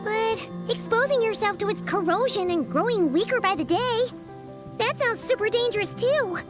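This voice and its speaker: Cast a chirpy little fairy companion girl: very high, bouncy lilt, game music under her. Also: animated. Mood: worried, eager. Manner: chatty, casual, quick to worry. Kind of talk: video game cutscene dialogue.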